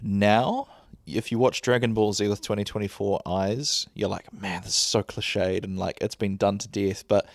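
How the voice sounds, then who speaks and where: Laid-back man with a deep bassy voice. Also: little pitch movement, normal speed, gravelly, dry recording. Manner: rambling, laid-back, laughs often. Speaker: podcast host. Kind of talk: casual chat. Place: lying back while recording.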